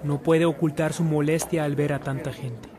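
A man's voice: on the high side, flat delivery, normal speed, background noise. A man reading scripted narration for a radio drama.

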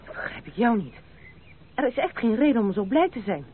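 A woman's voice: a little high, big pitch swings, brisk. Dignified, independent aristocratic woman, firm and formal, old radio play with phone-like sound. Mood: earnest, urgent. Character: proud, independent young noblewoman, burdened and stern. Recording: tinny, band-limited, hissy old radio recording.

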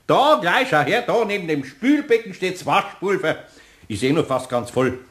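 A man's voice: sits high, very animated, normal speed, a bit bright. An actor playing a good-natured, grumbling older Bavarian craftsman in a children's radio play, folksy and teasing. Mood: smug, weary.

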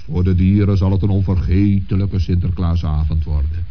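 A very deep-voiced old Saint Nicholas figure acted by a man from a children's radio play, on a dull old recording. He is stately, kindly and patient, reassuring in a measured way. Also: level tone, close to an everyday voice.

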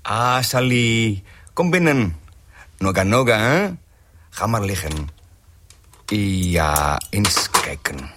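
An actor playing a chummy, unhurried male dentist making jovial small talk with a patient in a radio play. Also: fairly low pitch, expressive, fairly bright tone. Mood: jovial, soothing. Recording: noisy radio play recording.

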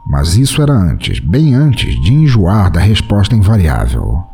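Male podcast narrator, low and mellow, brooding, expressive reading. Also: measured pace, husky, soft background music. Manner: brooding, bitter, intimate. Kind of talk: dramatic first-person story narration.

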